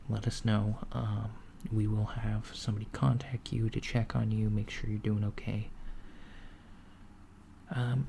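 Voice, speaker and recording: A man voices a calm, attentive alien doctor who speaks softly and hesitantly, pausing on ums and slipping into whispers. The voice is heavily gravelly and holds a flat, level pitch. A steady hiss runs under the lo-fi recording. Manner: soft-spoken, unhurried, slipping into whispers. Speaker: ASMR roleplay creator. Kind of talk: softspoken ASMR roleplay.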